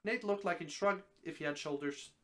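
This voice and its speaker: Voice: mid-range voice, brisk, fairly bright tone. A person narrating a story aloud in a live dramatic reading, sometimes tripping over words and rereading them.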